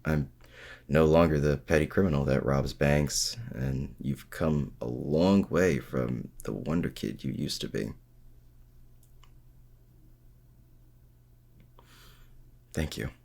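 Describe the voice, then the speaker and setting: Guy doing a supervillain for asmr roleplay, super deep n crackly, casual and halting, secretly soft on his hero rival. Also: full character voice. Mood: vulnerable, grateful. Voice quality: very deep, gravelly. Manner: casual, halting, confiding, teasing. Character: feared male supervillain, self-doubting, secretly smitten with his heroic rival. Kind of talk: intimate ASMR roleplay audio drama, addressing the listener.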